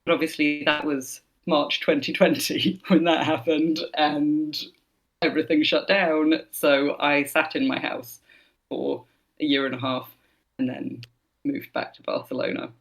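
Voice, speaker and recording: A woman's voice: low-pitched, steady tone, a little slow, gravelly. A woman from Scotland with no Scottish accent, a voice actor chatting thoughtfully in an interview, with many fillers. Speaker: voice actor, guest. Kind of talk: casual interview chat.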